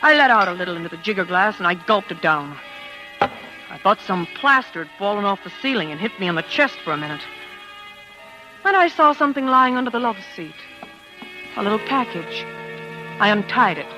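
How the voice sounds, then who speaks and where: An actress playing a jealous, vain, dramatic wife in a theatrical, self-pitying 1940s radio style. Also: female voice, medium pitch, lively intonation, brisk, pauses often, natural voice, poor audio. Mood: confiding, self-justifying. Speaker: lead actress and first-person narrator. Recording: old 1940s radio recording with music.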